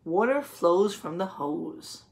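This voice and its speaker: A woman teacher reading a picture book aloud to young children, explaining as she goes and pausing to ask questions and confirm answers. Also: lowish voice, animated, vocal fry, noisy background.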